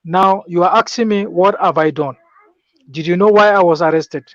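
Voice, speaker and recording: Nigerian man, fairly high voice, making his case as a guest, muffled dry call audio. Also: moderate pace, a bit bright. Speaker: discussion guest. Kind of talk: political discussion, personal account.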